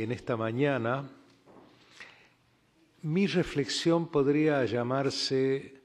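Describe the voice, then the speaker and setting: Argentine man, ambassador's floor remarks, unhurried and reflective, roomy hall sound. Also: medium pitch, darkish tone. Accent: Argentine Spanish. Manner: measured and reflective, with frequent pauses and fillers. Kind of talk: formal conference remarks. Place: large conference hall. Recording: reverberant conference hall.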